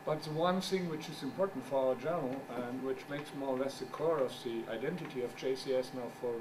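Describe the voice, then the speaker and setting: Halting non-native man lecturing from afar. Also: mid-range voice. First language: German. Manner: halting, searches for words, wry asides. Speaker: journal editor giving a talk. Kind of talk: conference presentation. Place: conference room. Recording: distant mic with background noise.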